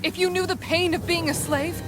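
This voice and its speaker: A woman's voice: very high voice, fairly even intonation, brisk. A passionate, pleading young woman. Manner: pleading, urgent. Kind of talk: video game cutscene acting. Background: game music.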